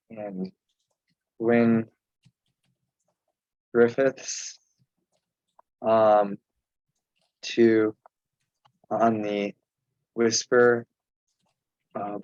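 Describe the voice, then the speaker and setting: A man chairing an informal online meeting, speaking haltingly with pauses mid-sentence. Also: on the low side, a little mellow, dry recording. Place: remote teleconference. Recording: dull, muffled conference call audio.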